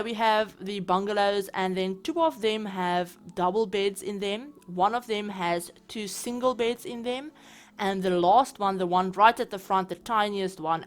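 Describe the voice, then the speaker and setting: A woman with a South African accent, chatting casually over her gameplay as a channel host, rambling with lots of fillers. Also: mid-range voice. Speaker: game building channel host.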